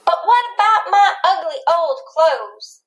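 A woman's voice: very high-pitched, animated, takes it slowly, pitched above the performer's own voice, exaggerated voice. A woman voicing a plucky, daring young cowgirl in a storybook, with spirited, folksy calls. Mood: eager.

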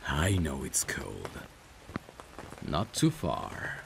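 A man's voice: extremely low pitch, very animated, drawn-out words, gravelly, background noise. A man acting a romantic ASMR roleplay as a caring, protective friend, speaking tenderly and intimately. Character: caring, protective male friend secretly in love. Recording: rain ambience behind the voice. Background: rain.

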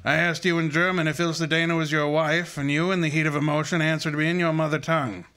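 A man acts out a commanding private detective from an old-time radio play. His voice is fairly high and strikingly forceful. He speaks in precise, formal sentences with a pompous, assured air. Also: little pitch movement, quick pace, clear, resonant tone. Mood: triumphant. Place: live stage before an audience.

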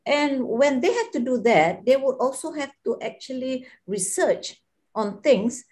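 An older Malaysian-accented woman speaking on a video call. She explains in a measured, teacherly way, as a professor on a panel. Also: sits high, very animated, a little mellow. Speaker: professor of oral pathology. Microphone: video call from a laptop.

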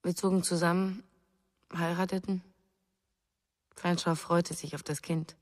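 Grieving young wife for radio drama, played by a woman: deep female voice, restrained, reverberant sound. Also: flat delivery, measured pace, close to an everyday voice. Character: grieving, restrained young mother with a missing husband. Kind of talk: German radio drama acting.

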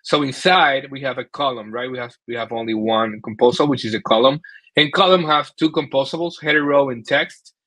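A man in his thirties from the Dominican Republic with a Dominican Spanish accent, giving a technical presentation over a video call in a halting way, often repeating words. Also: mid-range voice, bright, forceful tone. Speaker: conference speaker, software developer. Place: small indoor room at home, video call.

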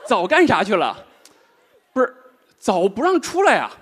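A man in his thirties performing a deadpan stand-up comedy set on a large studio stage, speaking into a handheld microphone. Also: sits high, normal speed, noisy background. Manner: dry, deadpan delivery with rhetorical asides. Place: large indoor studio stage with live audience. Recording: live audience laughter.

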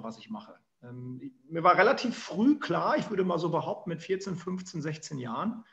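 A man's voice: fairly high pitch, gravelly, dull audio. A man in his forties from northern Germany with a northern German accent, telling his career story as a guest with many fillers. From Emsland, northern Germany. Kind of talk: storytelling interview answers. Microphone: remote call.

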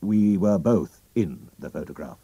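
A man's voice: low voice, expressive, measured pace, gravelly, warm tone, slightly rough, no echo, muffled recording, exaggerated voice. A man voicing a proud, anxious king who speaks formally and commandingly. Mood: troubled. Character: German-accented royal visitor, proud king, anxious.

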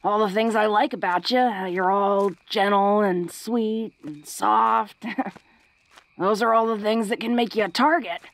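A woman's voice: medium pitch, steady tone, measured pace, no room sound, close to an everyday voice. A woman playing a tough, flirty, secretly awkward female orc in an intimate romantic audio roleplay, speaking in a hesitant, folksy, teasing way. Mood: tender, teasing.

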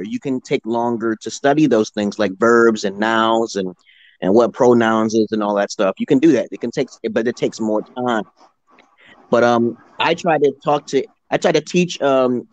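A man in his forties with a New York accent, talking slowly and drowsily as an English tutor on a video call, leaning on "okay" a lot. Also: mid-range voice, a little mellow, no room sound. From United States. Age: 40s. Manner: slow, drawn-out and repetitive, leaning on "okay". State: just woken up, drowsy. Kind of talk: casual live-stream chat. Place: indoors at home, lying down. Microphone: video call, phone held close.

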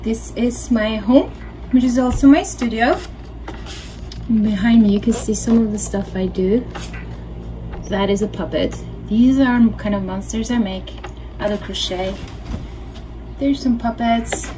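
Norwegian accent lady teaching a craft, informal, thinking out loud, pretty noisy home studio sound. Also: a little high, measured pace, pauses often. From Norway. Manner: informal, thinking aloud as she demonstrates. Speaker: puppeteer teaching a craft workshop. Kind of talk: casual craft tutorial. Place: small home studio, indoors.

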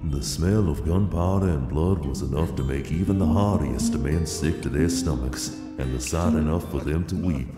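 A man with an extremely low voice narrates a dramatic tale. His scripted storytelling rings with echo, while loud plucked guitar music plays behind him. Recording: echoey long reverb under loud music.

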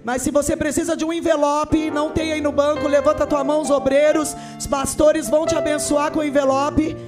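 High-voiced woman preaching fervently. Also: level tone, brisk, over music. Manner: emphatic, often shouting. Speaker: preacher and author. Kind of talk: fervent testimony and offering appeal. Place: large church hall with congregation. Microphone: handheld microphone.

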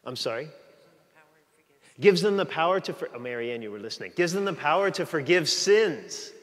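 Middle-aged male priest, fairly high voice, relaxed joking sermon delivery, long church reverb. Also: brisk. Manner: chatty, joking with the congregation. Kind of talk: conversational sermon. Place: large church, walking the aisle before the altar.

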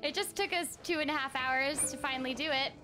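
A young woman chatting casually and brightly animated while livestreaming a game. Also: high voice, a little fast. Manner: bubbly, animated, giggly. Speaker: game streamer. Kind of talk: casual livestream gameplay chat. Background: game music.

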